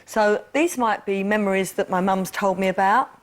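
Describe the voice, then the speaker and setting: A woman answers interview questions in an earnest, reflective way. Her voice sits at an ordinary middle pitch. She circles back and repeats herself as she thinks aloud. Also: moderate pace. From East London. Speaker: interview guest. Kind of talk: candid personal interview.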